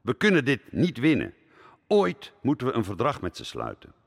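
A man's voice: mid-range voice, wildly swooping pitch, moderate pace, gravelly, breathy edge, exaggerated voice. An actor playing an old, anxious statesman advising a prince, deferential yet admonishing, in a radio drama. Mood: worried, admonishing. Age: older. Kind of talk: Dutch radio drama acting. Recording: long hall reverb.

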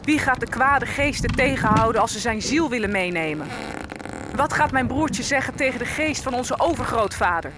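A woman's voice: on the high side, lively intonation, moderate pace, noisy background, natural voice. An actress playing a grieving enslaved African woman held on a ship, telling her story solemnly and poetically in a radio play. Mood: anguished grief. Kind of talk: dramatic first-person radio play narration.

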